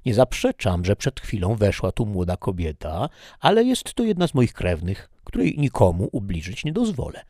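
A man's voice: fairly low pitch, wildly swooping pitch, normal speed, hardly any pauses, darkish tone, no echo, studio quality. A man voicing a proud, honourable knight who speaks firmly and with dignity.

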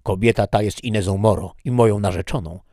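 A man's voice: low-pitched, measured pace, mellow, no echo, studio quality. A man voicing a proud, jealous nobleman who speaks haughtily and insistently. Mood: indignant.

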